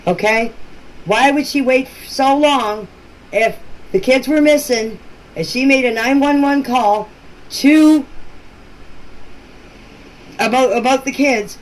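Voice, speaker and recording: A woman leaving informal voice messages for a group chat, explaining things in a halting, repetitive way. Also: high voice. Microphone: phone. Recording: noisy phone voice clips.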